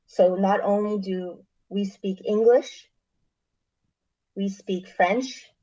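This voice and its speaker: A woman from Canada, a missionary, answering interview questions in a measured, thoughtful way with frequent pauses. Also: medium pitch, fairly even intonation, dark tone. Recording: dull lo-fi recording.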